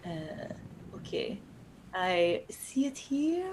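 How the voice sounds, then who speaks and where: A woman player at a tabletop game, speaking casually. She feels her way through sentences tentatively, with wide pitch swings and faint noise behind her. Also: very animated, takes it slowly. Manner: hesitant, tentative. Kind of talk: casual role-play game chat.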